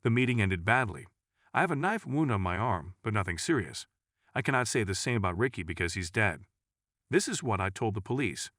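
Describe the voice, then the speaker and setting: A man's voice: fairly low pitch, big pitch swings, a little fast, fairly bright tone, no room sound, clean studio sound. A man narrating a scripted first-person crime story in a dramatic storytelling manner. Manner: dramatic storytelling, acts out dialogue.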